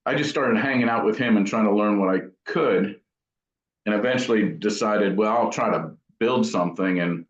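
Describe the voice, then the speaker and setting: A middle-aged man with a fairly low voice talks thoughtfully and casually, as an interview guest reminiscing. He pauses and hesitates as he goes. The sound is roomy and slightly dull. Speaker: guitar amp builder, interview guest.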